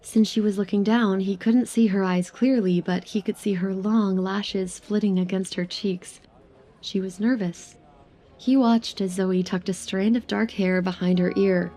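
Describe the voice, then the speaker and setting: A woman's voice: medium pitch, fairly even intonation, measured pace, mellow. A woman narrator reading a scripted third-person romance story for an audio drama.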